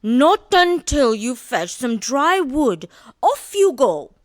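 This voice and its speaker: A man voicing a bossy, sharp-tongued village wife in a falsetto, reading a storybook. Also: sing-song, slow, dry recording, clean studio sound, full character voice. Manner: shrill falsetto, scolding. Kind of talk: storybook read-aloud.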